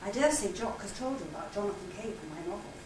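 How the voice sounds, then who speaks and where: A woman's voice: medium pitch, lively intonation, fast. An older woman giving a scripted literary presentation, reading out narration, letters and diary extracts. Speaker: presenter and reader at a literary society conference. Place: conference hall. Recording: echoey room, distant mic, loud noise.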